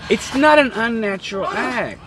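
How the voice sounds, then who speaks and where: A man's voice: extremely high pitch, expressive, moderate pace, background noise. A man chatting casually as a trucking vlogger, rambling and joking as he tells stories from a truck cab. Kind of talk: casual chat.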